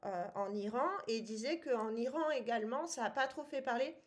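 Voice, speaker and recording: A woman's voice: on the high side, brisk. A woman co-hosting a casual book podcast, talking with many hesitations and frequent laughs. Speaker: podcast co-host. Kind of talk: casual book-club chat.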